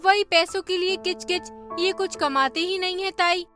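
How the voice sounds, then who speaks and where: Lady playing a grumbly poor village wife, high bright voice, cartoon drama. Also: steady tone, normal speed, soft background music. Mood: complaining. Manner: complaining.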